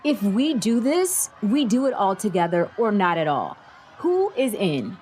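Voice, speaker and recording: A woman's voice: medium pitch, sing-song, measured pace, a little mellow. A woman playing a brave, principled teenage girl hero, earnest and decisive, in an audio drama. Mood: resolute.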